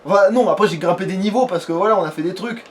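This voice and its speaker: High-voiced man rambling through casual gaming commentary. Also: fast talker, a little hiss or hum. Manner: rambling, slangy, foul-mouthed. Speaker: let's play host and player.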